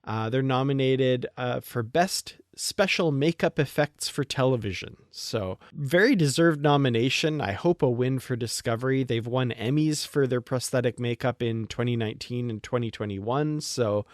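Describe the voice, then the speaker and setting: A man's voice: mid-range voice, moderate pace, few pauses, no room sound, clean studio sound. A man hosting a fan podcast, talking through entertainment news in a casual, conversational way. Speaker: podcast host.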